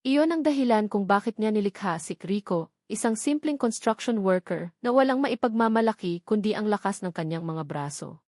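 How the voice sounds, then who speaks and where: A woman's voice: medium pitch, lively intonation, normal speed, a little mellow, no echo. Filipino woman narrating a dramatic story, dry sound.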